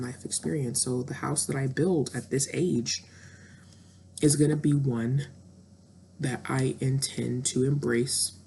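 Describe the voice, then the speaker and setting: A woman's voice: extremely low pitch, gravelly, mellow, a little hiss or hum. A woman in her thirties talking candidly and introspectively in a reflective personal monologue, with frequent fillers. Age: 30s. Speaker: vlogger.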